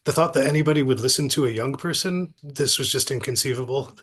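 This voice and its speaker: A middle-aged man giving reflective, anecdotal interview answers as an academic guest, thoughtful and halting, often repeating words. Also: medium pitch, fairly bright tone, slightly rough.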